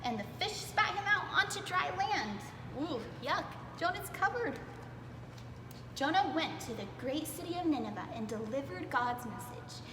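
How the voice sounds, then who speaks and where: A woman reading aloud to young children in a playful, expressive way, dwelling on words for emphasis. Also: high voice, moderate pace. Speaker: preschool storytime reader. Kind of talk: animated children's read-aloud. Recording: echoey reverberant room with clear background noise.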